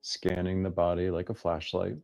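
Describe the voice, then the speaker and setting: A man leading a guided meditation as its teacher, speaking in gently inviting instructions with long pauses between phrases. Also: low voice, monotone, gravelly, faint music behind.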